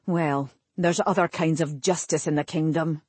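A woman's voice: low-pitched, steady tone, normal speed, darkish tone, husky, no room sound. A woman voicing an angry, scornful elven sorcerer in an audiobook, with a snarling, commanding manner. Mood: indignant. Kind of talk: audiobook character acting.

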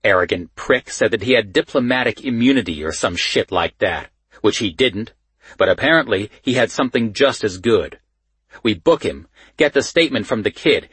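A man acts out a gruff Baltimore police sergeant in an audiobook. He is blunt and foul-mouthed, with little patience. The voice is slightly husky and recorded close and dry. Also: mid-range voice, fairly bright tone, no room sound, voice pushed up. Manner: profane, blunt, impatient. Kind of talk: audiobook character dialogue.

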